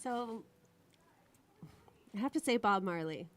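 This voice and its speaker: A woman musician, a guest on a radio show, chatting casually and soft-spoken. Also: mid-range voice, lively intonation, takes it slowly, no echo. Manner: soft-spoken, laughs easily, brief replies. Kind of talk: casual radio interview chat. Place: radio studio.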